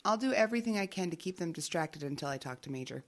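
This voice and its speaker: An actress playing a guarded, businesslike investigator in an audio drama, matter-of-fact and evasive. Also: female voice, fairly low pitch, expressive, fast talker. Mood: businesslike, urgent. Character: guarded, businesslike female investigator working undercover.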